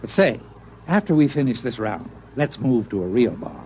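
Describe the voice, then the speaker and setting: An actor playing an excitable, blustering old man, an indignant washed-up swindler with a shaky voice, in 1950s radio drama style. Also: medium pitch, moderate pace, very noisy. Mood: chummy, tipsy. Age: older.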